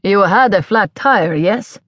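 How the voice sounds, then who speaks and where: A woman voicing a brash, flirtatious man who speaks slow, halting broken English. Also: mid-range voice, animated, no echo. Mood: chatty. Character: brash flirtatious Italian man, self-assured.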